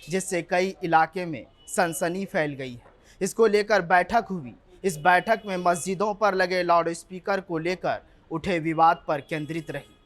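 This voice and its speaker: A man's voice: high-pitched, a bit bright. A man presenting scripted television news in a dramatic manner as the anchor.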